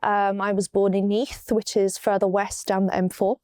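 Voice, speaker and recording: A young woman from Wales with an English accent, unhurried and full of ums as she tells her story in an interview. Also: mid-range voice, level tone, darkish tone, no echo. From Wales, raised in East London. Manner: unhurried, many ums and restarts. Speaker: guest.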